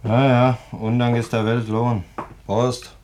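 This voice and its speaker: An actor playing an easygoing, tippling policeman who mutters platitudes in an unhurried way, in a radio drama. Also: medium pitch, little pitch movement, darkish tone, lightly acted. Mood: placid.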